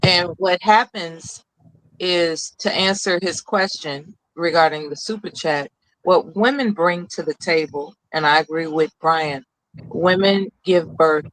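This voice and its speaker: A woman's voice: fairly low pitch, unhurried, fairly bright tone, dry recording, rough recording. Commanding woman on a discussion panel. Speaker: call-in panelist.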